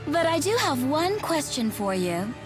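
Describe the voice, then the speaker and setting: Casting: coldly polite game villainess, high and swooping, cruel, mocking, savors each phrase. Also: female voice, big pitch swings, over music. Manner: condescending, formal, drawn-out phrasing. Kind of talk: video game voice acting.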